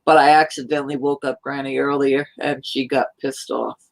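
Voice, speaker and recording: A woman from New York chatting casually on a live stream, rambling in a loud, hyper way. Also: rumbling low, little pitch movement, normal speed, gravelly, rough recording. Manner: rambling, hyper, self-described loud talker.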